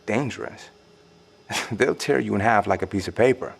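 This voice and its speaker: An actor playing a secretive, composed insider in an audio drama, speaking in a measured, formal, wry and condescending way. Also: male voice, fairly low pitch, vocal fry, slightly rough. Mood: wry, dismissive. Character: secretive, composed male insider warning survivors of monsters.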